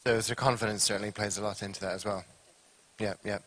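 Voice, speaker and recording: Brief: male moderator, slightly low, halting with fillers. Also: steady tone, a bit bright. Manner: halting questions full of fillers and restarts. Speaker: panel moderator. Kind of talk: moderating a conference panel.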